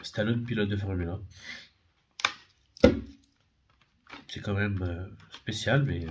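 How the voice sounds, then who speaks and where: A man's voice: lowish voice, slow, very noisy. A man with a head cold, talking casually and hesitantly, with many fillers and self-corrections, as he shows secondhand discs from his collection. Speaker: DVD and Blu-ray collector. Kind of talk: casual unboxing video.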